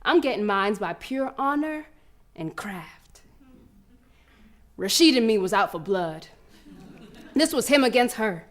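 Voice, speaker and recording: A woman's voice: mid-range voice, expressive, slow, long pauses. A woman playing a fierce, cocky preteen American girl runner in a live theatrical monologue, telling her story in brash, slangy street talk. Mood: confident, determined, then defiant, earnest. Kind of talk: first-person theatrical monologue performed live.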